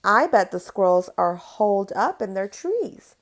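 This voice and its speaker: Imaginative young storybook boy, earnest and adventurous, played by a woman reader, medium-pitched. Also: sing-song, measured pace. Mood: thoughtful.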